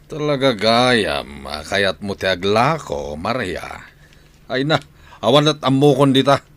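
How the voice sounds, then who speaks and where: A man's voice: medium pitch, sing-song, measured pace, a bit bright. A man playing a lazy, dismissive husband and father in an Ilocano radio comedy-drama, grudging in the way he answers.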